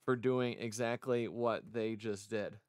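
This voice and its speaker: A man's voice: lowish voice, fairly even intonation, a little slow, darkish tone, clean tone, no room sound, studio quality. A man casually reading a visual novel aloud as he streams it, rambling off into chatty asides. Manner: rambling, chatty asides between read lines. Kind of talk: casual game playthrough commentary with read-aloud dialogue.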